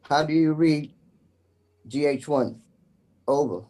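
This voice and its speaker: A man practicing formal emergency radio procedure, announcing scripted messages in a deliberate way as a trainee net controller. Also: on the high side, dark tone. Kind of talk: scripted radio procedure drill with call signs.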